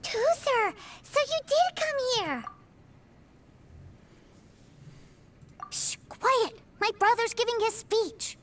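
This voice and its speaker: A woman's voice: extremely high pitch, expressive, takes it slowly, a bit bright, slight background noise, exaggerated voice. A woman voicing an innocent, curious little girl in a video game, with childlike earnest delivery. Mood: excited.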